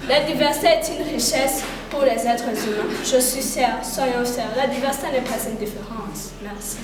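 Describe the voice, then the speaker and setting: A woman's voice: a little high, moderate pace. A teenage girl, reciting a poem earnestly and declaratively at a school event. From Burundi. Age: teens. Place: large hall. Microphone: far from the microphone. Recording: echoey hall from far off, background ambience.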